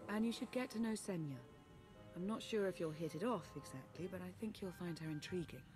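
Casting: actress as composed, guarded sci-fi game heroine's ally, deep for a woman, measured delivery, over music. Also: lightly acted. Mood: composed, earnest. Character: composed, guarded female ally in a space saga. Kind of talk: video game dialogue acting.

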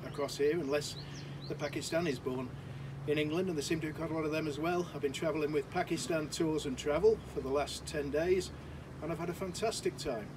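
A man in his sixties from the Isle of Man, giving an emphatic, chatty testimonial to camera on an outdoor balcony, with a camera mic a few metres off. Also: fairly high pitch. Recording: outdoor recording with clear street noise.

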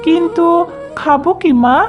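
A very high, plaintive little girl's voice acted by a woman for a cartoon fairy tale. She is a timid village daughter who pleads with her mother, with music playing clearly behind her. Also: steady tone, a little slow, lo-fi sound. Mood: hungry, pleading.

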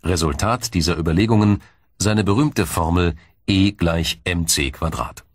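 A man narrating a scripted documentary in a measured, explanatory storytelling manner. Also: sits low, no room sound, studio quality. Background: music.

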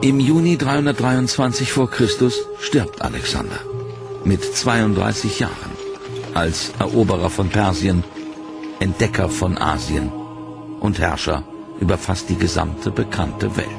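A man narrating a history documentary in a measured, solemn scripted voice-over. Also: deep voice, lively intonation, vocal fry. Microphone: studio voice-over. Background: loud dramatic music.

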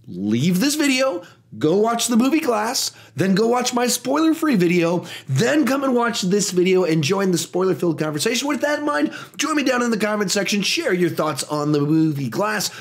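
A man in his thirties reviewing a film in a small home studio, animated and a little hoarse from a cold. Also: high voice. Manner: animated, gestures a lot, talks in quick bursts. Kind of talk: energetic spoiler movie review.

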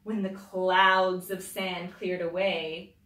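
A woman's voice: on the low side, little pitch movement, takes it slowly, fairly bright tone. A middle-aged woman reading a picture book aloud to children in a dramatic, emphatic way, drawing out words. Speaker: children's storytime host, teacher. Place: small indoor library room with tiled floor. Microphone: camera a few metres away. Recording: roomy echo, distant microphone.

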